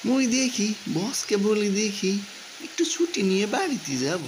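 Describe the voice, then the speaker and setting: A man's voice: high-pitched, lively intonation, unhurried. A man voicing a homesick working father in a cartoon, musing aloud in a rustic Bangladeshi accent. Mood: wistful. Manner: rustic, colloquial. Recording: background noise, ambience.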